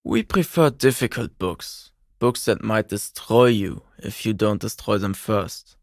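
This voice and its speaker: An actor voicing an earnest, pedantic young communist intellectual for a video game, bookish, precise and self-serious. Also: male voice, on the low side, measured pace, vocal fry, no room sound, studio quality. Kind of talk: video game character voice lines.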